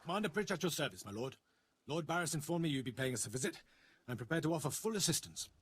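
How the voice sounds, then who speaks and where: An actor playing a dutiful, deferential military commander in a video game, giving a formal, brisk briefing. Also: male voice, a little high, very animated, breathy edge.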